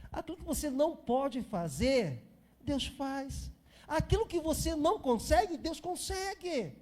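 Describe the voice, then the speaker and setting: A man's voice: very high-pitched, very animated, measured pace, a little mellow. A Brazilian man in his fifties preaching an informal sermon as a pastor, repeating phrases for emphasis and chatting to the congregation. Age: 50s.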